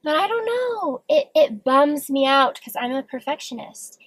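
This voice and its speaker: A woman's voice: high voice, sing-song, measured pace, a bit bright, no echo. A young woman vlogger talking candidly and earnestly to camera from her car, with an occasional stammer. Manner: open, earnest, self-reflective. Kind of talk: candid solo talk to camera. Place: parked car.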